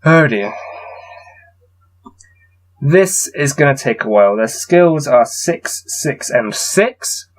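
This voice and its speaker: A man reading a gamebook aloud and adding low-key, wry muttered commentary as he plays. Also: animated, slow, long pauses, low-quality recording. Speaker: solo gamebook player and reader.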